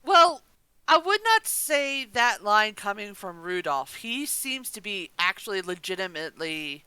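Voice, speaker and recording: Woman on a review podcast, crisp bright voice, opinionated, no room echo. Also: medium pitch, very animated, unhurried. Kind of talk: casual opinionated discussion.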